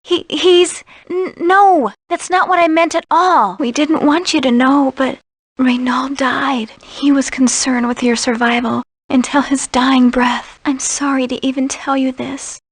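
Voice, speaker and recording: An earnest young guard from a fantasy video game. Her voice is high and girlish, with a caring manner. It is recorded dry. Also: steady tone, a little slow, husky, no room sound, exaggerated voice. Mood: flustered, sorrowful. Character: bright-hearted, caring young female guard.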